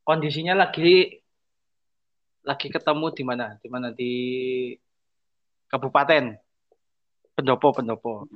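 A man's voice: mid-range voice, unhurried, long pauses. A young man, chatting casually as a podcast co-host with short, easygoing, teasing replies. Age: young adult. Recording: dull lo-fi podcast audio.

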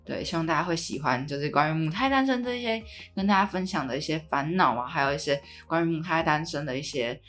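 A woman's voice: deep voice, animated, fast, few pauses, fairly bright tone, faint music behind. A woman with a Taiwanese Mandarin accent hosting a podcast in a casual, conversational way. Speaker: podcast host.

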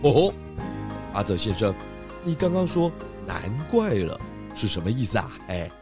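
A calm pig detective who speaks patiently and kindly, voiced by a performer. Mid-range voice, with music running underneath. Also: mid-range voice, unhurried. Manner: warm, patient, reassuring. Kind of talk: children's radio drama. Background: background music.

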